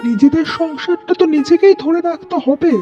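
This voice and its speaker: A woman playing a weary, gentle elderly mother-in-law in a cartoon family drama, plaintive and placating. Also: very high voice, fairly quick. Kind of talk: animated family melodrama acting. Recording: dull lo-fi sound with music.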